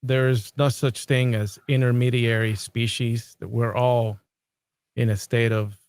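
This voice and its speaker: A man from Colombia who talks in a measured, thoughtful way, with some restarts, in a casual podcast conversation. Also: medium pitch, little pitch movement, darkish tone, clean tone, no room sound. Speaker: podcast host.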